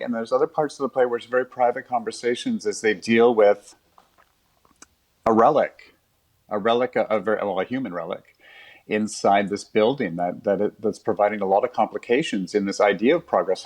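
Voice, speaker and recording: A man being interviewed as a theatre director, explaining in a measured, reflective way. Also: fairly low pitch, a little mellow.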